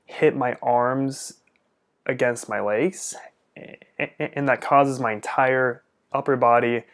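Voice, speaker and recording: A man's voice: mid-range voice, steady tone, takes it slowly, a bit bright. A young man, a speech-language pathologist, answering viewer questions in a casual vlog, earnest and open, stuttering often with repeated sounds and blocks.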